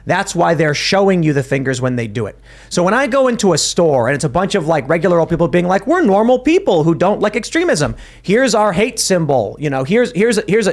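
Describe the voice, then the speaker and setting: Animated man hosting a panel talk. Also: sits high, brisk. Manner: emphatic, animated, with frequent restarts. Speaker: podcast host.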